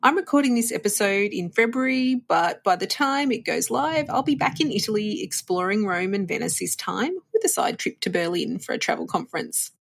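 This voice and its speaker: A woman's voice: medium pitch, lively intonation, brisk, vocal fry, hardly any pauses. A woman hosting a travel podcast, upbeat and conversational, chatting with her guests. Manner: upbeat, conversational, gives tips. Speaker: podcast host.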